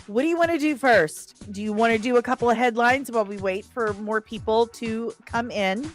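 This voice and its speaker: A woman hosting a casual livestream, chatting and reading out comments in a playful, expressive, sing-song way. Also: on the high side, normal speed. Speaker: live stream host reviewing comics and screen news. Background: faint background music.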